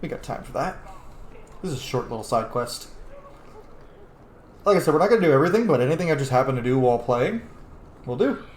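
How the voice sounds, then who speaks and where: A man's voice: mid-range voice, very animated, fast talker, pauses often, reverberant, slight background noise. A man giving casual, profane, self-deprecating roleplay commentary as he plays a video game.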